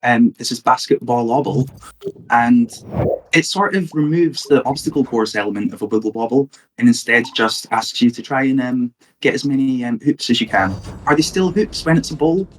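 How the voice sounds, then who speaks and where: Friendly mid-pitched male co-host. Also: moderate pace, a little hiss or hum, low-quality recording. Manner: friendly, explanatory, fillers. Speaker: livestream co-host. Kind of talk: casual chat, commentary over gameplay.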